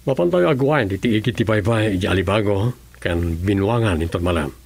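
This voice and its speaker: An actor with a Filipino accent playing a weary, authoritative governor in a radio drama. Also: male voice, fairly low pitch, expressive, fairly quick, gravelly, darkish tone, husky, full character voice. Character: authoritative male governor, weary, advising his staff. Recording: faint background noise and ambience.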